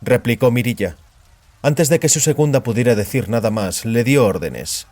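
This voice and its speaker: A man narrating a dramatized story as scripted fiction, in a grave, deliberate storytelling manner. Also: mid-range voice, animated, a little mellow, no echo. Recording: music behind the voice.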